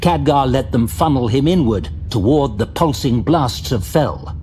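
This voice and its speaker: A man giving dramatic, measured scripted narration for an audio drama, with faint music behind. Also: mid-range voice, animated, mellow, a little hiss or hum.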